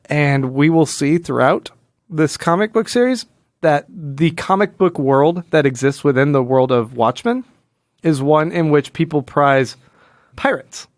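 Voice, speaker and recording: Male podcast co-host, slightly higher-pitched, clean smooth voice, dry close sound, casual and rambling explainer. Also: animated, measured pace, no room sound. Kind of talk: casual comic book discussion.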